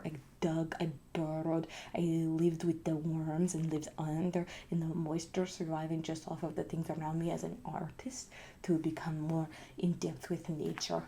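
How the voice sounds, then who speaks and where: A grandiose, blunt artist speaks in soft, haughty whispers with a put-on foreign accent that keeps slipping, as a woman acts her out. The voice is low and gravelly with a dark, mellow tone, and her delivery stays deadpan. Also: slight background noise. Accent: put-on foreign accent that slips. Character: pretentious eccentric female artist, grandiose and blunt. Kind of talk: whispered ASMR roleplay.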